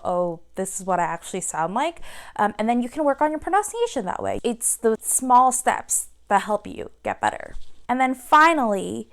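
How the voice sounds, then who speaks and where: Chatty young woman with a clear voice. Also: medium pitch. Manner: chatty, animated, playfully acts out her own reactions. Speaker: vlogger giving language-learning tips. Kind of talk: casual to-camera advice.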